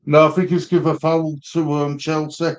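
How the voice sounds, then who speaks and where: A man's voice: on the high side, flat delivery, moderate pace. A man chatting casually as a panellist on a live stream. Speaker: watchalong panellist.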